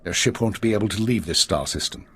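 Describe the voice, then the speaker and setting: A male actor playing a commanding, weary middle-aged intelligence chief in a video game, husky and brisk. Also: fairly low pitch, fairly bright tone. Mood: stern, businesslike. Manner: brisk, authoritative.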